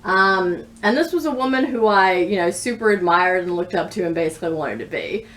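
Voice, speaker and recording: A woman's voice: on the low side, expressive, fairly quick, fairly bright tone. A woman in her thirties chatting casually about books as a vlogger, with thoughtful, bookish phrasing. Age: 30s. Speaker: book vlogger. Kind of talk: casual book review chat to camera. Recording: distant mic with clear background noise.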